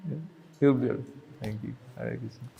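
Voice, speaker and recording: A man's voice: mid-range voice, fairly even intonation, moderate pace, creaky, mellow, husky, in a large hall, highs cut off. A man teaching a group, answering questions with patient, reassuring explanations. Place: community hall.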